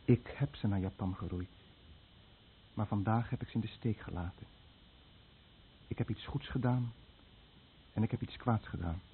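A man's voice: on the low side, normal speed, pauses often, natural voice. An actor playing a solemn, guilt-ridden and stubborn Japanese boatman who faces death in a radio play. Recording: muffled, noisy old radio play recording.

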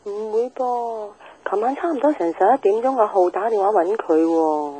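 Worried Hong Kong auntie played by an actress, radio-drama mother, very mellow voice, fretful questions, faint background noise. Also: mid-range voice, moderate pace, lightly acted, poor audio. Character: worried middle-aged mother, chatty auntie. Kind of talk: Cantonese radio drama phone scene.

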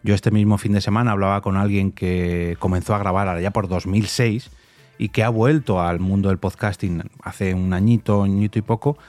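A man's voice: low voice, fairly even intonation, moderate pace, a little mellow, dry recording, faint music behind. A man with an accent from Spain hosts a casual interview, commenting at length in a conversational way. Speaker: podcast host interviewing a guest.

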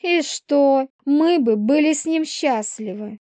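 Woman acting a spiteful, high-voiced young she-wolf. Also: lively intonation, takes it slowly, mellow, dry recording. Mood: bitter, defiant. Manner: taunting, theatrical. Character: spiteful, manipulative pregnant young werewolf woman, bitter and pouting. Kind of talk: dramatised audiobook dialogue.

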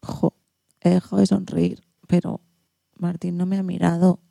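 Woman playing a dreamy, lovestruck little girl, softly muffled. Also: on the low side, flat delivery, moderate pace, vocal fry, slight background noise. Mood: dejected. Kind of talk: radio play of a children's picture book.

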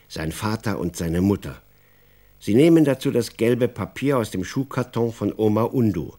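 A man narrating a children's radio story from a script in an expressive storytelling manner. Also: medium pitch.

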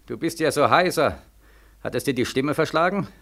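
A young soldier back from war, bitter and accusing. His voice is forceful and swings widely in pitch as he declaims with biting sarcasm. It is impassioned vintage radio drama, repeating its accusations insistently. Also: lively intonation, normal speed, creaky. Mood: bitter irony. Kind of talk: 1940s radio play acting. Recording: vintage 1940s radio recording.

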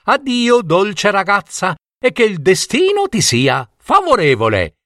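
Teasing female fox put on by a male reader, high lilting voice, dry close sound, storybook. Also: wildly swooping pitch, unhurried, fairly bright tone, no room sound, full character voice. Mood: kind. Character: wise riddling female fox doorkeeper.

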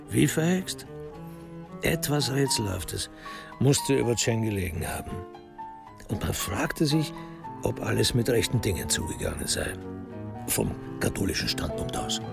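A man narrating a scripted radio crime drama in a wry, measured storytelling manner. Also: mid-range voice, gravelly.

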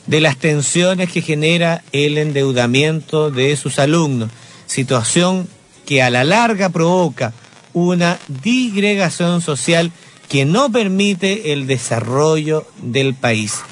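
Radio host reading news. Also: male voice, on the high side. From Chile. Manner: jokey, reads statements aloud with banter.

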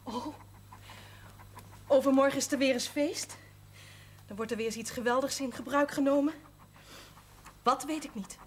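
An actress playing a jealous, hurt young wife from the south of the Netherlands, with a southern Dutch lilt, in an old TV drama. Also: female voice, high-pitched, normal speed, pauses often, slight background noise, natural voice. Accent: southern Dutch, Limburg. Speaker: doctor's patient. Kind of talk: 1970s TV drama acting.

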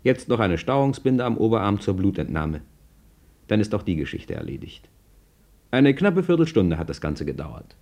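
Deep-voiced, knowing storyteller. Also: male voice, big pitch swings, normal speed, a little mellow. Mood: wry, familiar. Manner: conversational, wry, present-tense commentary. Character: knowing, familiar male storyteller following his hero. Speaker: narrator. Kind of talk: scripted radio drama narration.

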